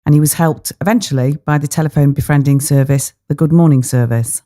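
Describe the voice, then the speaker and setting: Female host, low-pitched and mellow, conversational interview moderating with some hesitation. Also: steady tone, a little fast. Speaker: podcast host.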